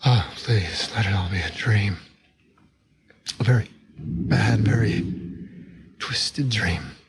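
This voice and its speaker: A desperate man pleading in a TV science fiction drama. He speaks very slowly in a fairly low voice. There is faint noise behind him.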